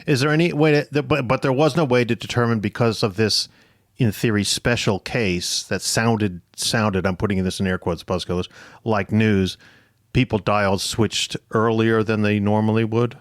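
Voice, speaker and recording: A man's voice: lowish voice, steady tone, moderate pace, no room sound, studio quality. A man hosting a history podcast, asking questions and chatting conversationally with guests. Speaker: podcast host. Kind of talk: conversational interview on a history show.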